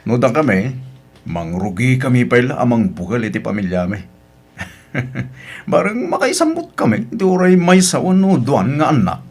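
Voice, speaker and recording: A man with an Ilocano accent playing a supportive cousin and devoted husband in a radio drama, animated and earnest. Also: medium pitch, normal speed, breathy edge. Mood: teasing.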